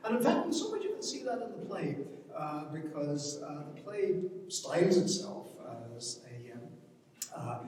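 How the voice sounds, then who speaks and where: A man with a somewhat high voice speaks off the cuff about literature in an informal lecture style. He is hesitant, stopping and repeating words as he works out each thought. The sound is very echoey, with long hall reverb, and he seems some distance from the microphone. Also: a little mellow, slight background noise. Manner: halting, hesitant, frequently repeating words while thinking aloud. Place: large reverberant indoor event space.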